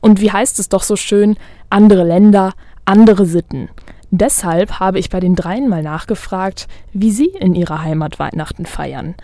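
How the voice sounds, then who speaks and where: A woman narrating a scripted radio feature as the reporter, in a calm, measured and clearly read delivery. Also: mid-range voice, no room sound.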